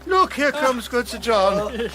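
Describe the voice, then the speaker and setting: Old English country justice, high voice, eager, giggly, repeats himself, radio drama, busy crowd behind. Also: male voice, expressive, takes it slowly, full character voice. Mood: welcoming. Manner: fussy, repetitive, chuckling. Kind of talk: classical radio drama acting. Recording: radio play with crowd sounds.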